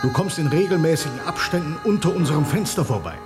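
An actor playing the authoritative leader of a revolutionary cell in a German radio play, firm and instructing. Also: male voice, on the high side, animated, quick pace, natural voice. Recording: clear music behind the voice.

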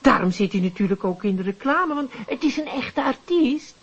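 A woman's voice: fairly high pitch, animated, moderate pace, slightly rough, highs cut off. An actress playing a nervous, chatty, prying middle-aged widow in a radio drama, hesitant and repeating her words. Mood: excited, proud.

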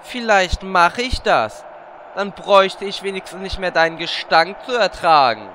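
Animated, bright, whiny hero played by a man. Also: high-pitched, a little slow, full character voice. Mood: angry, bickering. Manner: exaggerated complaining, bickering. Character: whiny, lewd, quarrelsome male fantasy hero. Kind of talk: German fantasy parody audio drama. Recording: background noise.

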